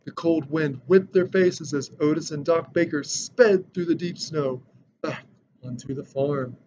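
A man in his thirties reading a children's picture book aloud with expressive, dramatic storytelling. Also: on the high side, a little slow. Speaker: storybook reader. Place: indoor decorated room. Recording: dull lo-fi sound with background noise.

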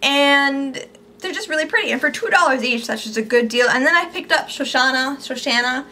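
A young woman with a high, bright voice talks eagerly and chattily about things she bought, filmed close to the camera in a small room at home. Her voice has gone a little squeaky from overuse, and a faint hum of room tone sits behind her. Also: animated, brisk. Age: young adult. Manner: chatty, upbeat, gushing about products. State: losing her voice, slightly squeaky. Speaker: beauty vlogger. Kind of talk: casual haul video.

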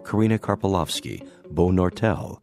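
Very deep-voiced male announcer. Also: moderate pace, over music. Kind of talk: scripted credits announcement.

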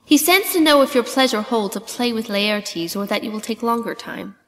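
A woman's voice: on the high side, normal speed, fairly bright tone, natural voice. Reverberant, dutiful courtly messenger played by a woman. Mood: polite, formal. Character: polite, dutiful courtier messenger bringing royal word. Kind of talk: dramatic reading of Shakespeare. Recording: long reverberant echo.

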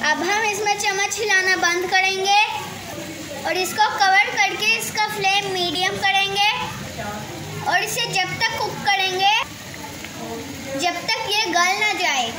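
A young girl talks slowly through a home recipe, taking her time over each step. She is recorded in an echoey home kitchen, and clear sizzling from the pan sits behind her voice. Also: very high-pitched, steady tone, noisy background. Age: child. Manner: slow, careful explaining. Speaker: cooking show host. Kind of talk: step-by-step cooking demonstration. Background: frying and sizzling.

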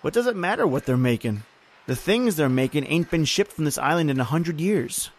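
A man's voice: on the high side, expressive, fairly quick. Man acting a grim, taunting island overseer. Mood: bitter, taunting. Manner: folksy, bitter, uses "aye" and "ain't". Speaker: actor in audio horror drama. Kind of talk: old-time radio horror drama acting.

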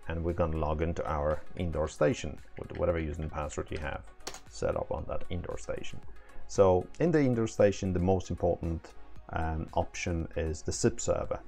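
Man, 30s, creaky low voice, casual hands-on demonstrator talking through steps, faint music behind. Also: darkish tone. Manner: informal, hands-on, step-by-step explaining. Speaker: product demonstrator. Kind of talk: casual tutorial, explaining setup steps.

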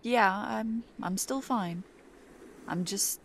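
A young woman doing a soft, playful, teasing ASMR girlfriend roleplay. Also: medium pitch, slow.

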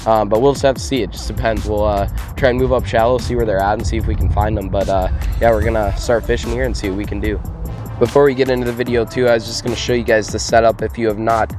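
A young American man with a hoarse, worn-out voice from a cold, chatting casually for a fishing vlog outdoors on a boat. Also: on the low side, steady tone, fast talker, over music. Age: young adult. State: hoarse from a cold, losing his voice. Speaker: fishing vlogger. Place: outdoors on a small boat on a lake.

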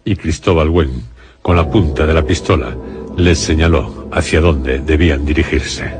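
A man with an extremely low voice narrates a radio tale of horror. His delivery is grave and deliberate, broken by dramatic pauses, with music clearly playing underneath.

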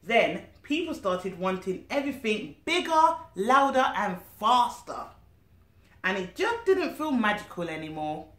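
A woman voicing a grumpy, disillusioned old Christmas gentleman from a storybook, grumbling and sighing, in an echoey room. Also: mid-range voice, very animated, measured pace. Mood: weary, wistful. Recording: roomy living-room echo.

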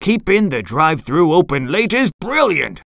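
A woman doing a gruff, blustery crab boss. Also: lowish voice, sing-song, normal speed, no room sound, clean studio sound, pitched above the performer's own voice, full character voice. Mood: scheming delight. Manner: piratical, gruff, bellowing. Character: blustery, money-loving old male crab restaurant boss. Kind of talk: children's storybook character voice.

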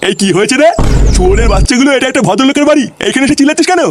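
A man voicing a cranky, foul-mouthed old grandfather in a comic cartoon, gruff and scolding. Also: very high-pitched, animated, very fast, soft background music. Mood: irritated.

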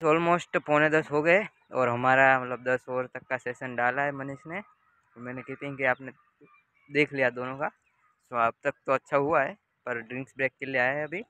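Young man, chatty vlogger, outdoor cricket ground, camera at arm's length, mid pitch. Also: steady tone, moderate pace, pushed and bright, clear, resonant tone, no room sound. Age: young adult. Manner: chatty, addresses viewers directly. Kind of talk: casual vlog narration. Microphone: handheld camera at arm's length.

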